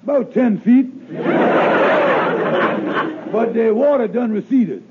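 A man playing a scheming, smooth-talking husband in a 1950s radio comedy, folksy and wisecracking, with a husky, gravelly voice. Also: very high-pitched, slow pace, crowd noise, noisy background. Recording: tinny old radio recording.

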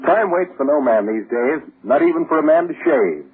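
Guy old radio ad read, kinda high voice, tinny scratchy sound. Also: expressive, normal speed. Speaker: sponsor announcer. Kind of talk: old-time radio commercial.

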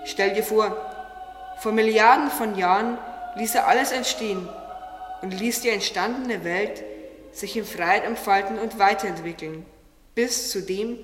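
A woman's voice: mid-range voice, a little slow, natural voice. A woman playing a proud, curious talking garden tree in an audio drama. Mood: moved, eager. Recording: echoey, long reverb, distant, under music. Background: music.

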